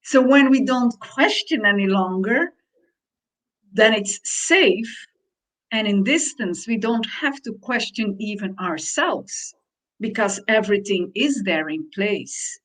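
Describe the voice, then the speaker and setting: A woman whose first language is Dutch, co-hosting a reflective conversation and searching slowly for her words. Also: medium pitch, lively intonation, rough recording. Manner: slow, searching, thoughtful phrasing. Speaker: podcast co-host.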